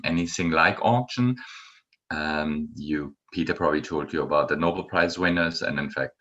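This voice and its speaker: Very deep-voiced German-accented male lecturer. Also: a bit bright. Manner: unhurried, many ums and uhs. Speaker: guest lecturer. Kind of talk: university lecture.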